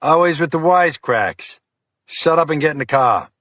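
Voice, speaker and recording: A man's voice: fairly high pitch, animated, moderate pace, fairly bright tone, no room sound, voice pushed up. A man voicing a blunt, scolding, tubby middle-aged male FBI agent with a Boston accent in an audiobook. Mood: gruff irritated. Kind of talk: audiobook character voice.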